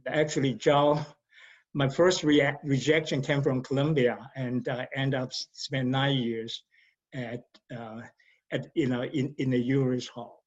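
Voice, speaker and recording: A man with a Taiwanese accent, a professor speaking in a reflective conversation, talking deliberately and often repeating words as he thinks. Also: medium pitch, flat delivery, lo-fi sound. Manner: deliberate, halting, frequent repetitions and fillers.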